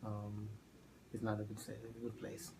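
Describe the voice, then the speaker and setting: Ethiopia-born guy in his 30s, gravelly low voice, lots of uh and um, slight noise. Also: completely flat delivery. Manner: halting, many fillers and restarts. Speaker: math teacher. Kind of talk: oral history interview.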